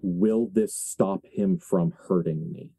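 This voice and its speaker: A man's voice: deep voice, fairly even intonation, slow pace, mellow, breathy edge, no echo. A man working as game master, narrating a horror tabletop game with casual asides.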